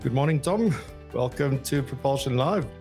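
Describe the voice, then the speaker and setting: A man's voice: medium pitch, expressive, normal speed, music in the background. A man hosting a live podcast, chatty and hesitant, full of fillers as he introduces and questions his guests. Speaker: podcast host.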